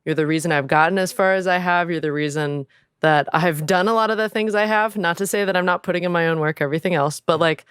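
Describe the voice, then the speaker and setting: Smooth, low-voiced woman, dry close sound. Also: fast talker, no room sound. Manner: effusive, earnest. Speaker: podcast co-host and voice actor. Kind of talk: casual podcast chat.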